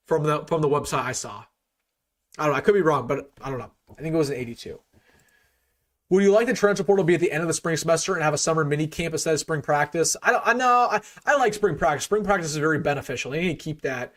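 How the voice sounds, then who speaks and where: A young man hosting a casual live sports stream, opinionated, full of restarts and fillers, his voice getting a bit worn. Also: a little high. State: losing his voice a little.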